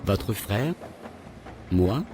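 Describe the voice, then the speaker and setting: Man playing a curt, low-voiced guarded young man. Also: lively intonation, very slow, slight background noise. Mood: guarded, puzzled. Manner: curt, dismissive. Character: offended, guarded young man with green hair and tattoos. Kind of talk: scripted cartoon dialogue. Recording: loud music over the voice.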